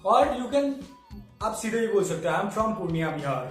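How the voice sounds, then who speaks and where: High-voiced teacher in echoey room. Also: male voice, sing-song, moderate pace, soft background music. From Bihar, India. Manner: mixes Hindi and English, asks "samajh mein?". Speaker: English teacher. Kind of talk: classroom lesson.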